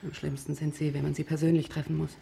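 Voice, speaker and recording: An actress playing a jaded, blunt service worker in a radio play, speaking in a sardonic, naturalistic way. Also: female voice, deep voice, flat delivery, fast, vocal fry, warm tone. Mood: bitter, weary. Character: jaded, blunt woman working in premium customer service. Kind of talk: naturalistic radio drama acting.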